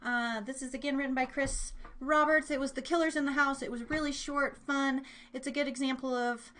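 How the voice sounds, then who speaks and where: A high-voiced woman hosts a live podcast in an easygoing chat style. She rambles informally, thinking aloud as she works through her notes. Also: steady tone, a little fast, a bit bright, a little hiss or hum. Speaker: podcast and live stream host. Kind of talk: casual live show chat.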